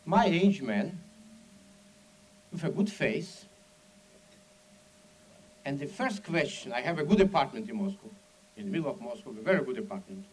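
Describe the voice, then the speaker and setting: A man from the Soviet Union with a Russian accent gives a public speech in broken, non-native English, telling his story in an animated, dramatic way with emphatic asides. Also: mid-range voice, moderate pace, pauses often, low-quality recording. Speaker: speaker at an event.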